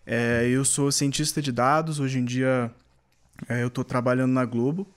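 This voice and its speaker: A man's voice: mid-range voice, level tone, moderate pace, smooth. A man in his twenties with a Brazilian Portuguese accent, a data scientist, introducing himself on a podcast in a friendly, easygoing way. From Espírito Santo, Brazil. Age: 20s. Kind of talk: casual podcast chat.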